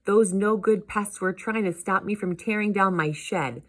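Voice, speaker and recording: A woman voicing an excitable, grumpy male duck uncle in a children's storybook read-aloud. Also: mid-range voice, normal speed, voice pushed up, close to an everyday voice. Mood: indignant.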